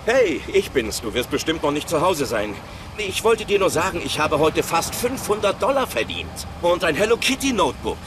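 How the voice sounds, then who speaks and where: An actor playing a cheerful, cocky sitcom husband with an excitable, boastful manner. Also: male voice, a little high, normal speed, background noise. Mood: cheerful, breezy. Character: cheerful, cocky courier husband turned ice-cream man. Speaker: sitcom character. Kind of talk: dubbed sitcom acting. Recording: loud music and street sounds behind.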